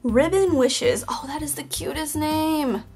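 A woman hosting a toy unboxing video in a casual, chatty way, her voice a little hoarse from just waking up. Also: high-pitched, measured pace, darkish tone, soft background music. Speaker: toy unboxing channel host. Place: indoors at a table. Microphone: off-camera, hands-only tabletop shot.

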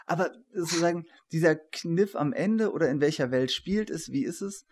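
A man's voice: on the high side, expressive, moderate pace, a little mellow, slight background noise. A man in his forties interviewing conversationally, eagerly circling his way into each question. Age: 40s. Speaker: interview host, professor. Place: attic room, indoors.

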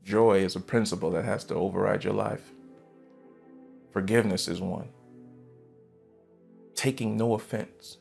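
A man in his thirties, a pastor preaching a sermon from a church stage, fervent and emphatic, jumping from loud bursts to quiet asides. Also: on the low side, fairly even intonation, measured pace, creaky, long pauses, soft background music. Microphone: headset mic.

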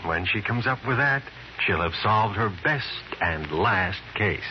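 An actor playing an amiable police captain in a 1950s radio detective drama, casual and plain-spoken. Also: male voice, fairly low pitch, expressive, a little slow. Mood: amused, proud. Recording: noisy, muffled 1950s radio recording.

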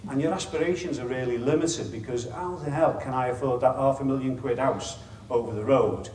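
A man's voice: medium pitch, brisk, darkish tone, reverberant, recorded from a distance, slight background noise. A middle-aged man with a Liverpool accent gives an informal conference talk as a chief executive, chatty and wry, with self-deprecating asides. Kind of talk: informal presentation to an audience. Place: meeting room with an audience. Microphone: lapel mic.

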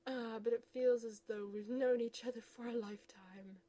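A woman's voice: fairly high pitch, fairly even intonation, normal speed, mellow, natural voice. A woman voicing a pompous, lovesick young man who gushes theatrically over his date. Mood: smitten. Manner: gushing, theatrical.

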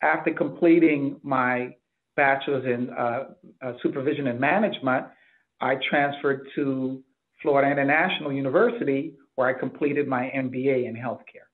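A man's voice: mid-range voice, fairly even intonation, moderate pace. A middle-aged man from the Bahamas answering interview questions as a hospital executive over a video call. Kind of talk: interview answers about career. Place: office, indoors. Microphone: computer mic on a video call. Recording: thin, phone-like video call audio.

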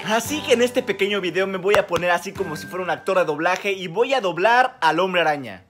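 High-voiced young Mexican guy vlogging. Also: brisk, over music. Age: young adult. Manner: animated, joking. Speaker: YouTuber. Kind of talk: casual vlog chat. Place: small home room.

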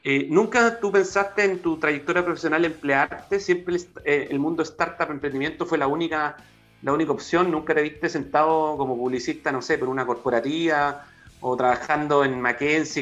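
A man's voice: on the high side, fairly even intonation, normal speed, a bit bright. A young man hosting an interview, asking friendly, loose questions over a video call. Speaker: interview host. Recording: dull lo-fi call audio, faint music.

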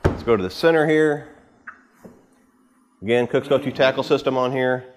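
A man in his thirties giving a casual, unscripted walkthrough to camera in an informal, rambling way, with pauses as he handles gear. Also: mid-range voice, noisy background. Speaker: vlogger giving a boat tour. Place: home garage with the door open.

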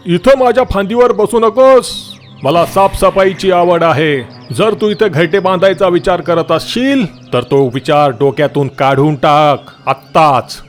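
A man voicing a stern but secretly kind talking tree in a children's moral story cartoon, with emphatic, drawn-out scolding. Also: high-pitched, faint music behind.

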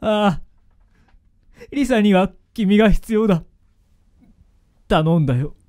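Male actor as a humble middle-aged father, remorseful, slightly high pitch, dark mellow tone, radio drama. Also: slow. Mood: resigned. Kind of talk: Japanese radio drama acting.

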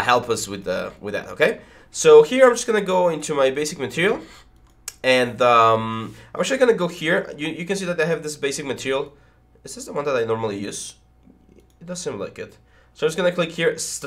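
A man's voice: mid-range voice, fairly bright tone. Congested man rambling through a tutorial. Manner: rambling, frequent fillers and stammering. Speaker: 3D modelling instructor. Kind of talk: casual screen-recorded tutorial. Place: small home studio room with foam panels. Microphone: headset with boom mic.

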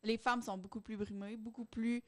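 A woman's voice: on the high side, flat delivery, moderate pace. A young woman with a Quebec French accent, speaking emphatically and with strong opinions in a casual group discussion on a close studio mic. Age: young adult. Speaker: podcast panelist. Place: small podcast studio. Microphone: close studio microphone on boom arm.

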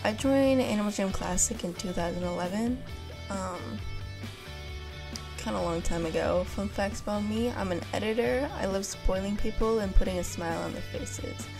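A woman's voice: mid-range voice, normal speed, gravelly, music in the background. A woman from the United States giving a confident, self-promoting introduction as a contestant.

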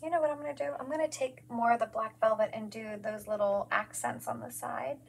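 A woman's voice: fairly high pitch, fairly even intonation, fairly quick, gravelly, slightly rough. A woman in her forties hosting a live craft demonstration from her studio, chatty and gushing, greeting viewers by name as she works.

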